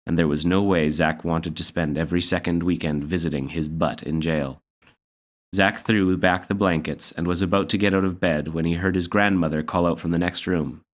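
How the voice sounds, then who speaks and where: Low-voiced man reading a story. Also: steady tone, normal speed. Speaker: story reader. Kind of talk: read-aloud children's story narration.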